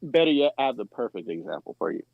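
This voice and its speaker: A man's voice: mid-range voice, big pitch swings, quick pace, vocal fry, no echo, narrow, tinny audio. A man from New York co-hosting a live stream, telling personal stories casually and circling back to rephrase as he goes. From New York, United States. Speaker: live stream podcast co-host. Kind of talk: casual chat, personal storytelling.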